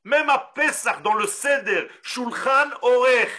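A man from Israel with an Israeli accent, a Hebrew native speaker giving a Torah lesson in French, animated and full of rhetorical questions. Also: extremely high pitch, takes it slowly. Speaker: rabbi.

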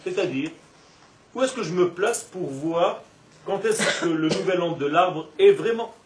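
A man with a high-pitched voice gives an animated religious class. He leans on rhetorical questions and stretches words for emphasis. The recording is roomy and echoing, picked up from a distance with faint noise. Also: unhurried. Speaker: religious teacher giving a Torah class. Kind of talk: lively spoken lesson to a live group.

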